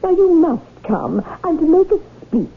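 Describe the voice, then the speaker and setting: High-voiced, insistent society wife. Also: female voice, very animated, moderate pace, full character voice. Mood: coaxing, insistent. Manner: theatrical, coaxing, exclamatory. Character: insistent, genteel society wife, club president. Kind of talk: 1970s radio drama acting. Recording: tinny, noisy 1970s radio recording.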